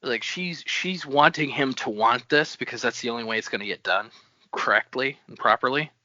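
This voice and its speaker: Male co-host, very bright tense voice, chatty, dull dry audio. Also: medium pitch, moderate pace, dry recording. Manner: chatty, restarts sentences often. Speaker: podcast co-host. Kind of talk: casual book discussion.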